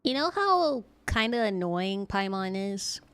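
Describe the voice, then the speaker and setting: A woman chats casually as she streams a video game. Her medium-pitched voice is clean and smooth, recorded close in a very dry space. She rambles informally and jokes as she goes. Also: sing-song, measured pace, no echo. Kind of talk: casual chatty livestream commentary.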